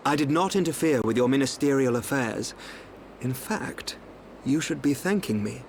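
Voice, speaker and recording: An actor playing a calm, enigmatic young seer in a video game, speaking in a smooth, cryptic, unhurried way. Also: male voice, medium pitch, slight background noise. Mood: cool, smug.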